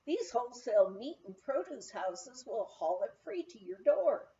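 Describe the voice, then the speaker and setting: A motherly, capable older woman of a mountain lodge, played by a woman reading a memoir, gives practical advice with assurance. Her voice is high and lively, with a mellow edge. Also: measured pace, lo-fi sound, a little hiss or hum. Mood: briskly practical. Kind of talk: storybook-style character reading.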